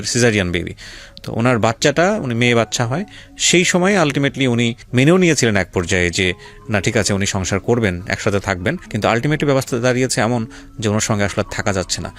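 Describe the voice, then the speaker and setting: A Bangladeshi man hosting a radio show, interviewing guests with probing questions and narrating life stories. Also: fairly low pitch. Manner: probing, asks repeated questions. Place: radio studio. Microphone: studio microphone. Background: music.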